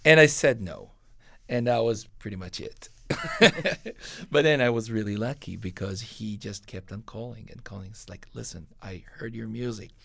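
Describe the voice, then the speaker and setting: Gravelly-voiced man telling stories, dry sound. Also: on the low side, normal speed, a bit bright, dry recording. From Israel. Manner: rambling, full of fillers and self-corrections. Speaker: composer guest. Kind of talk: relaxed interview storytelling.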